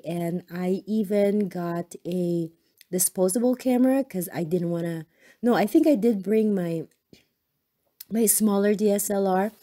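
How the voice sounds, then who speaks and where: A hoarse woman with a cold talks through her crafting project in a casual voice-over, pitched a little low with a dark, mellow tone. She rambles, stops to correct herself and fills gaps with ums. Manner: chatty, rambling with frequent ums and self-corrections. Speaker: crafting channel host.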